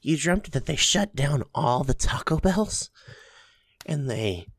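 A man playing a doting, teasing young boyfriend in an intimate ASMR roleplay, speaking softly and affectionately. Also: fairly low pitch, gravelly, slightly rough, no room sound, heavily acted. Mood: soothing, tender. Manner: soft, affectionate, playfully teasing, with chuckles and kisses.

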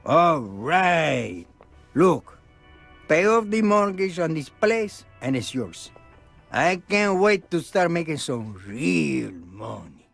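Nervous, animated shopkeeper. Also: male voice, on the high side, slow, vocal fry, soft background music, heavily acted. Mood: eager. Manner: fussy, hushing, pleading. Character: nervous, fussy, greedy male donut shop owner. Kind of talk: video game cutscene acting.

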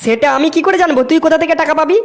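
A man's voice: very high voice, racing. A man voicing a bossy, scheming young leader of drinking buddies in a comedy cartoon. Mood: demanding.

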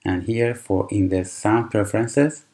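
Brief: native Persian-speaking man, very low and unhurried, tutorial presenter with frequent "uh"s. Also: steady tone, darkish tone. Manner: unhurried, explanatory, with frequent fillers and restarts. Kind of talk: screen-recorded software tutorial.

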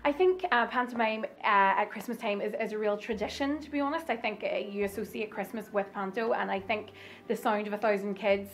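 A woman's voice: medium pitch, steady tone, a little fast. A woman in her thirties, a pantomime actress, talking enthusiastically in a backstage interview with some ums. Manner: warm, enthusiastic, with fillers. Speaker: actress playing the fairy godmother. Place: dressing room.

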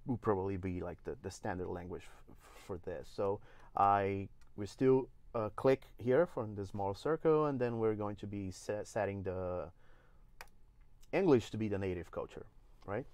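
A man from Brazil with a Brazilian Portuguese accent talks through a live software tutorial. His voice sits a little low, recorded close and dry in a studio. He fills pauses with "uh" and often restarts mid-sentence. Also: smooth, dry recording. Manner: explains step by step with frequent "uh" and restarts. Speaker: engine evangelist giving a demo. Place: indoor studio.

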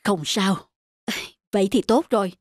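A woman's voice: medium pitch, unhurried, no echo, clean studio sound, close to an everyday voice. Woman voicing kindly uncle Kiên. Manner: gentle, encouraging. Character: kindly middle-aged man Kiên, gentle painter.

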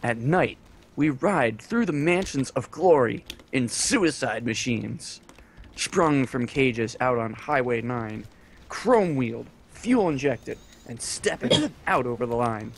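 A man in casual voice chat, reciting song lyrics slowly as spoken poems while friends laugh. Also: medium pitch, gravelly, slight background noise. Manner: slow, lively reciting with laughter. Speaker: gamer on voice chat.

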